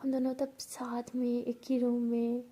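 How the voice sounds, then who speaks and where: A talkative young woman in a told romance, acted out by a woman storyteller. She scolds and teases in long rambles, secretly smitten. The voice is very mellow and slightly husky. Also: high-pitched, fast. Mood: lovestruck. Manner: rambling, teasing, stammers when flustered.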